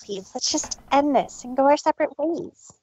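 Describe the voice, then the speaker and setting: A woman's voice: high-pitched, animated, moderate pace, a little mellow, dry recording, highs cut off. A woman voicing a hurt younger-sister mare in a dramatic story reading, earnest and imploring. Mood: pleading. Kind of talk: dramatic fan fiction reading.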